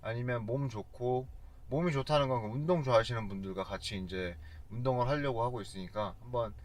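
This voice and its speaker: A man's voice: medium pitch, very fast. A man hosting a fitness vlog, presenting and interviewing casually, a native Korean speaker.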